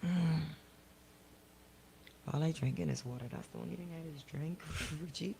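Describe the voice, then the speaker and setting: Casual chat, mid-range pitch, noticeably noisy recording. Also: moderate pace, vocal fry, mellow.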